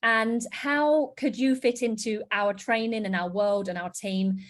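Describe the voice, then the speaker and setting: An enthusiastic woman from the UK with a British accent gives a sales presentation over a video call. She sounds upbeat and gushing, with her voice sitting at an everyday middle pitch for a woman. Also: fairly even intonation, measured pace. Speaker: business presenter.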